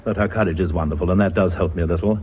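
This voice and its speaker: A man's voice: low-pitched, steady tone, racing, a little hiss or hum, natural voice. An actor playing a grave, courteous British company chairman in a radio drama, formal and dignified. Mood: grave, troubled. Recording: muffled old radio recording.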